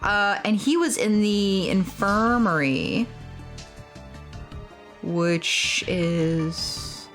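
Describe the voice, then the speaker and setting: A woman's voice: mid-range voice, expressive, extremely slow, long pauses, a bit bright, faint music behind. A woman game streamer reading game text aloud and commenting as she plays.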